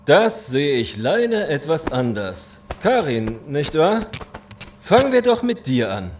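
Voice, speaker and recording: An actor playing a smug, genteel, menacing gang boss in an audio drama, pompous and mockingly polite. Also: male voice, on the high side, sing-song, unhurried, darkish tone, slight background noise. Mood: smooth menace. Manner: mock-polite, pompous, taunting. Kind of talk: German audio drama acting.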